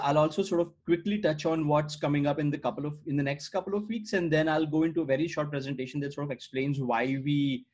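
A man in his thirties speaks with a slightly raised pitch, talking through a screen-shared demo on a video call. He keeps it conversational, full of "uh" and "right?" tags. His is Gujarati, and it shows. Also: a little mellow. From India. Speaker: startup founder giving a product demo. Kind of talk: screen-share product walkthrough. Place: indoor room on a video call. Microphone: video call webcam mic.

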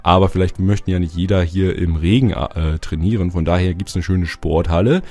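A man with a cold and a slightly hoarse voice, chatting casually as he gives a tour of his build and keeps adding little filler tags. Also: low voice, steady tone, a little fast, darkish tone. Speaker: Minecraft YouTuber. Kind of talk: casual gameplay tour narration.

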